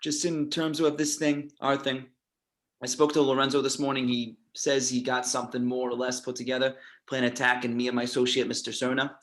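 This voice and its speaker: A man reading a chatty, fussy mobster in his fifties, creaky and halting, at an amateur script table read. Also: mid-range voice, level tone, a bit bright, natural voice. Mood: effusive. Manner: halting, repeats himself.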